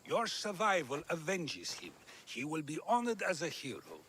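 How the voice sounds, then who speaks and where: A man acting a witty, philosophical ancient Greek sage in a video game, speaking in a measured, eloquent way. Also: fairly high pitch, huge pitch swings, fairly bright tone, slight background noise. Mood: solemn, consoling.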